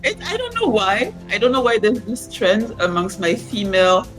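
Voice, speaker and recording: A woman's voice: medium pitch, animated, normal speed, faint music behind. A woman from Cameroon in her forties, a fashion brand founder talking reflectively about her life in an interview. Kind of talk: interview conversation.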